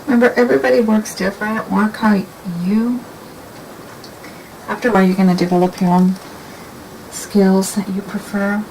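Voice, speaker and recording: A woman talking casually and thinking aloud as she paints, with a soft, rambling manner. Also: mid-range voice, fairly even intonation, normal speed, long pauses, slight background noise, low-quality recording. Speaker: painting instructor. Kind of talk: casual painting demo talk.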